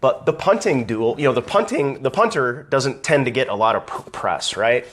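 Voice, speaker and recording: A man hosting a casual live video stream, talking conversationally, greeting viewers by name and ranting, his voice slightly raspy from allergies. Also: mid-range voice, expressive, moderate pace, fairly bright tone.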